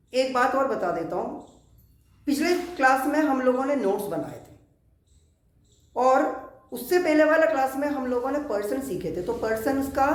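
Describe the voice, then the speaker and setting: A man from Kerala, teaching a spoken English grammar lesson in a slow, deliberate way with many English terms. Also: very high voice. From Kerala, India. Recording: echoey room.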